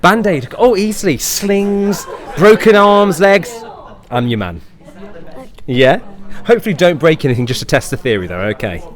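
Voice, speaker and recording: A man's voice: fairly high pitch, expressive, moderate pace. A man chatting playfully in an interview as a pantomime actor, with a camp, effusive manner full of endearments. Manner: camp, effusive, calls people darling and babes.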